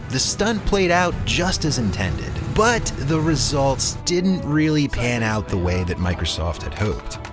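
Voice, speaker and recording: Male documentary narrator, scripted and tongue-in-cheek, very varied intonation, clear music bed. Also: medium pitch.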